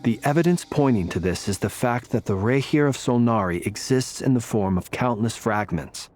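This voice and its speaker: A man voicing a courteous, shrewd gentleman investigator in an anime game, polished and formal. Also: medium pitch, lively intonation, normal speed, faint music behind, close to an everyday voice. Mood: composed, analytical.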